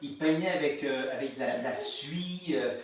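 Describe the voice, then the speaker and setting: A man's voice: a little high, moderate pace. A man in his fifties with a Québécois accent, a painter chatting live and hesitant, with many fillers and repeated words. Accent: Québécois French. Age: 50s. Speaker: painter, featured artist. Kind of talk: casual live conversation. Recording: echoey room, distant mic, faint noise.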